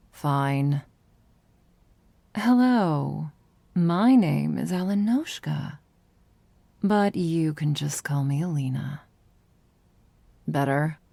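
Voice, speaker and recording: Aloof vampire sister woman acting, lowish gravelly voice, blunt, sardonic and standoffish, for ASMR roleplay. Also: expressive, extremely slow, long pauses, dark tone, dry recording, full character voice. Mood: grudging, cold. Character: cold, abrasive, protective vampire sister.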